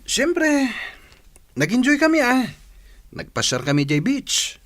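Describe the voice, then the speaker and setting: A man's voice: extremely high pitch, wildly swooping pitch, slow, fairly bright tone, no room sound, exaggerated voice. An actor playing a cocky, evasive young ladies' man in an Ilocano radio drama. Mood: breezy. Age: young adult.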